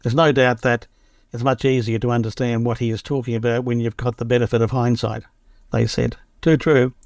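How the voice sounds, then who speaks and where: A man's voice: medium pitch, level tone, brisk, darkish tone, dry recording. Wry man reading, dry close sound. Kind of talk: reading a magazine article aloud.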